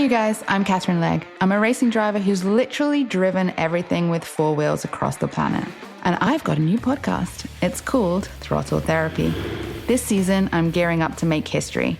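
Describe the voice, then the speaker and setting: A woman's voice: lowish voice, vocal fry, a little mellow. A woman, a podcast host, reading a scripted promo for her show. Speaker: racing driver and podcast host.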